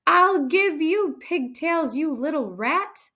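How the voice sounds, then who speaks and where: A woman voicing a huge, cruel headmistress from a children's storybook, barking insults and orders in a raised, shouting voice. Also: high-pitched, lively intonation, takes it slowly, voice pushed up, heavily acted. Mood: enraged. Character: huge tyrannical bellowing headmistress, cruel and menacing.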